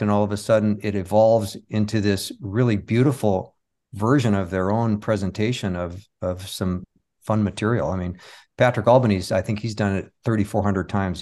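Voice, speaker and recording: A man speaking as an interview guest, reflective and halting as he tells stories of his work in conversation. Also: lowish voice, darkish tone. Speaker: interview guest, comic magician and stage show creator.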